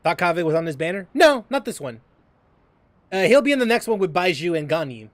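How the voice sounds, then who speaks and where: A man chatting casually as a game streamer, using gamer slang and repeating himself haltingly as he reacts. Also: high-pitched, very animated, dry recording. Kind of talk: casual livestream chat and reactions. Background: game music.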